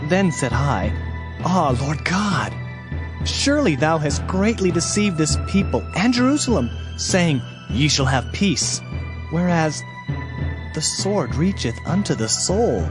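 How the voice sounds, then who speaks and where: A man's voice: on the high side, big pitch swings, takes it slowly, music in the background. A man playing an anguished Hebrew prophet in a dramatized scripture reading, lamenting and pleading with theatrical emphasis. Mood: grieving protest.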